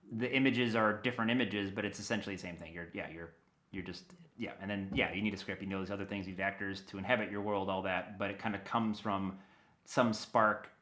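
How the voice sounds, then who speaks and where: A middle-aged man chatting casually as an interview guest, telling anecdotes in an animated, informal, loosely rambling way. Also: fairly low pitch, reverberant. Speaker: guest, audio dramatist and producer.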